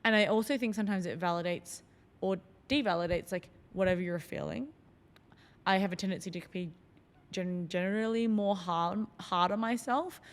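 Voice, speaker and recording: A woman's voice: mid-range voice, moderate pace, in a large hall. A woman hosting a solo podcast, talking in a loose, reflective way with lots of fillers. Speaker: podcast host.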